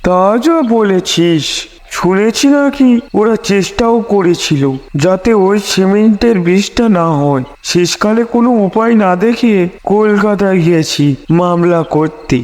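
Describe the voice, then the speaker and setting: Slow, high-voiced village boatman acted by a man. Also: animated, a little mellow. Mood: chatty, hopeful. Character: rustic village boatman, grumbling, money-minded. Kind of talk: cartoon horror story dialogue. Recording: long reverb, echoing space.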